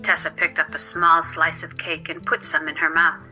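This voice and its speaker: Woman storyteller, intensely bright tone, slightly low pitch, scripted narration, dull recording, faint music. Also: little pitch movement, normal speed.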